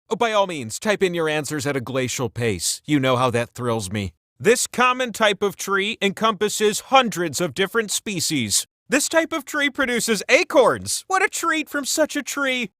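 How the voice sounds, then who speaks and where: A man's voice: high-pitched, fairly bright tone, no room sound, studio quality. A man voicing a vain, godlike talking wheel that hosts a trivia game in a campy, theatrical way. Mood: smug, sassy, then gleeful. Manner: campy, dramatic, playful delivery. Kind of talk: theatrical game-show narration.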